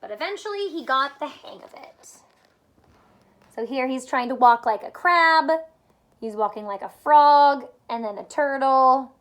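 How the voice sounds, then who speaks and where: A woman reading a children's picture book aloud like a teacher, expressive and pausing to point out details in the pictures. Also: sits high. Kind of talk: children's story read-aloud with asides.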